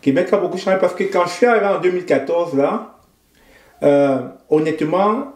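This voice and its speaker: A man from Cameroon, a guest in an interview, speaking in a thoughtful, measured way with frequent hesitations. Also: high-pitched, a little mellow, reverberant. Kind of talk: conversational interview.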